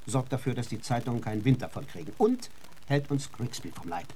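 Casting: male actor as chummy, crooked tour manager for radio drama, glib and cajoling, highly animated pitch, faint noise behind. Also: brisk, natural voice. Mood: glib, reassuring. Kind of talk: German radio drama acting.

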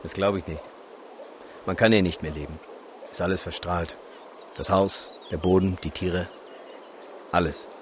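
A resigned man speaks tersely in a lowish voice. It is matter-of-fact radio drama dialogue, with faint outdoor sound behind. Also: steady tone, a little slow, long pauses, slight background noise. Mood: firm, grave.

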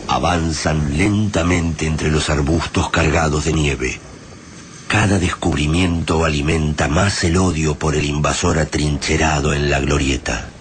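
A man's voice: rumbling low, fairly even intonation, unhurried, a little hiss or hum. An Argentine man narrating a radio drama in a solemn, ominous, dramatic scripted style. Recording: music and effects behind.

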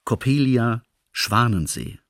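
An actor playing a stiff official announcer who formally lists a wartime opera programme in a radio play. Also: male voice, mid-range voice, expressive, slow, no room sound, clean studio sound. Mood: cold, formal. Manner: clipped, formal listing.

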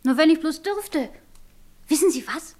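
Very high-voiced, giddy young girl. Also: unhurried. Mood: teasing, giddy. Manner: excitable, girlish, snobbish. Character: giddy, dreamy young innkeeper's daughter. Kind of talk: German radio play acting.

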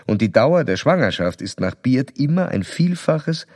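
An actor playing a self-assured psychoanalyst in a radio drama, speaking in measured, formal, didactic sentences. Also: male voice, mid-range voice, big pitch swings, a little mellow. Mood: formal, reflective. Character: male psychoanalyst doctor, clinical, probing, self-assured. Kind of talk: radio drama dialogue and letter monologue.

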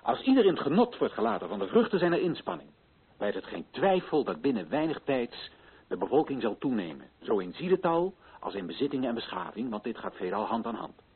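A muffled, lo-fi old radio drama recording of an earnest colonial official. His voice is husky and swings widely in pitch as he declaims in formal, righteous, solemn phrases. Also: big pitch swings, a little fast, full character voice. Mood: formal, exhorting. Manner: declamatory, formal, rhetorical questioning. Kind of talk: theatrical radio drama oratory.

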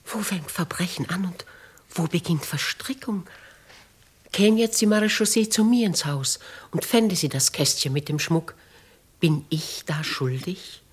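A noble lady with a lowish, husky voice. She is grave and imperious yet compassionate, speaking with the theatrical dignity of 1950s radio drama. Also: unhurried. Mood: hushed, pondering. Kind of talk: 1950s German radio play acting.

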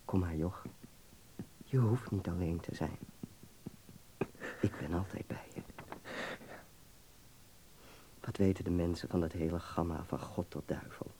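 An actor playing a worldly, affectionate young medical student with a fervent, teasing, philosophizing manner in a Dutch radio play. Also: male voice, deep voice, steady tone, moderate pace, pauses often, mellow, a little hiss or hum, poor audio. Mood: tender, reassuring. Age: young adult.

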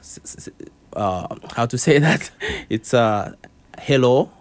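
A man's voice: medium pitch, expressive, slow pace, no echo. Hesitant man, dry sound. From Congo. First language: Tetela. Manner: hesitant, many fillers, laughs often. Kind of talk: interview answers.

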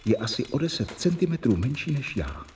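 A man's voice: medium pitch, sing-song, a little mellow, recorded from a distance, a little hiss or hum, lightly acted. A man playing a doctor narrating a journal. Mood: earnest. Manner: measured, reporting dates and symptoms. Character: young male doctor keeping a medical journal. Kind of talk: journal-style narration in a radio play.

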